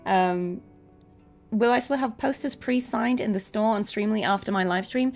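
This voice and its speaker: A woman's voice: mid-range voice, moderate pace, a little mellow. A woman chatting casually and informally to viewers while livestreaming a game. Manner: chatty, informal, chuckles easily. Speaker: voice actress livestreaming gameplay. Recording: faint game music behind voice. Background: faint game music.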